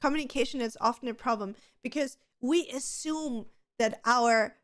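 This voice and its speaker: A woman's voice: fairly high pitch, moderate pace, fairly bright tone. A woman co-hosting a casual podcast, chatty and giving advice, with a slight stutter and many restarts. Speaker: podcast co-host.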